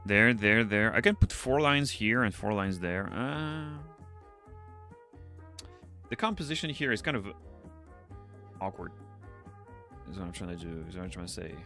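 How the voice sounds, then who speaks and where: Man, very low deep voice, non-native English, muttering and swearing, casual stream chat. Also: lively intonation, measured pace, pauses often, bright, forceful tone, soft background music. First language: not English. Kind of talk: casual livestream chat while drawing.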